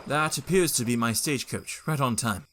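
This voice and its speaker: An actor playing a polite, proper young Victorian gentleman who speaks in a formal, courteous way. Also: mid-range voice, fairly quick, background noise, lightly acted. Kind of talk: audio drama acting and journal narration.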